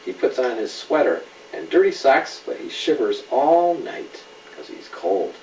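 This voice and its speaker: Middle-aged man, animated storybook reader chatting to a child; roomy, slightly noisy home recording. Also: mid-range voice, unhurried, recorded from a distance. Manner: animated, checks in with questions. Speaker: father reading a storybook aloud to a child. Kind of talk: bedtime story reading with asides to a child.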